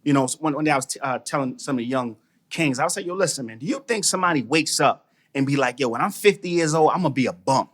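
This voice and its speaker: A man talking casually on a podcast, animated and slangy, often repeating phrases. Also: medium pitch, quick pace. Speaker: podcast guest, mental toughness trainer.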